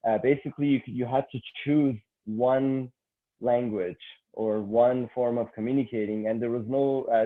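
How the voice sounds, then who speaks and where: A man's voice: medium pitch, narrow, tinny audio. A man from Canada hosting an online interview, summing up the guest's points and framing questions, with frequent restarts. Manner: summarizing and framing questions, repeated starts. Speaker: host.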